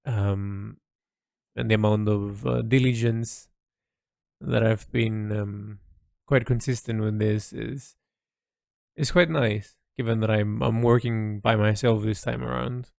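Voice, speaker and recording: A man's voice: on the low side, vocal fry, darkish tone, no echo, clean studio sound. A man keeping a reflective, unscripted audio log as an artist and writer, speaking hesitantly and often searching for the right word. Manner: hesitant, searching for words, trailing into "sort of". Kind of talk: reflective solo monologue, casual and unscripted.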